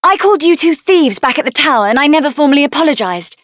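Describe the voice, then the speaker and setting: A woman voicing a headstrong young female mage adventurer in a video game, with an assertive, sassy and expressive delivery. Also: high-pitched, fast talker, no room sound, clean studio sound. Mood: friendly, brisk. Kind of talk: video game character voice acting.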